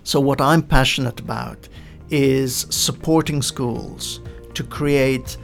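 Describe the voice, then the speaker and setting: Gravelly-voiced man explaining at length. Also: mid-range voice, soft background music. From Lebanon. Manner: measured, explanatory, with frequent uh fillers. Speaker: guest, coaching in education expert. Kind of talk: podcast interview answers.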